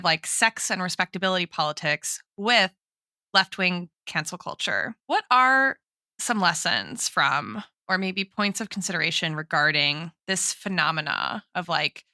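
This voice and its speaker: A woman's voice: on the low side, bright, forceful tone, no echo, studio quality. A woman co-hosting a chatty podcast, asking questions in an articulate, playfully formal way. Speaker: podcast co-host interviewing her co-host. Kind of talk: chatty podcast discussion, mock-formal interview.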